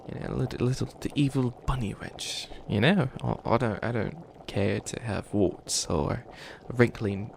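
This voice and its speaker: A man's voice: lowish voice, a little mellow. A man voicing a flustered, youthful bunny witch who wants to be evil, stammering and rambling defensively in a comedic ASMR roleplay. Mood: fussy, rambling. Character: flustered 853-year-old youthful bunny witch, harmlessly wannabe evil. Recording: clearly noisy ambience behind voice.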